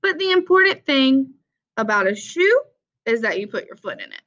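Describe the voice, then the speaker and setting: A young woman reads a children's picture book aloud in a fairly high, very bright voice. She takes it slowly, with simple, deliberate phrasing. The recording sounds a little dull and lo-fi. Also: very animated. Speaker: read-aloud presenter for children.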